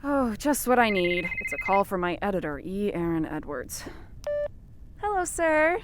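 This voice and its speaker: A woman plays a sarcastic young reporter in a comic audio drama. Her lively voice rises and falls with wry exasperation over a faint rumble. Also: moderate pace, close to an everyday voice. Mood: exasperated. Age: 20s.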